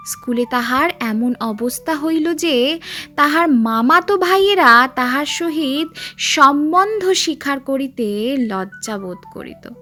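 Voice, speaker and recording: A woman narrating a literary story as a dramatic audiobook, expressive and measured with drawn-out phrases. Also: sits high.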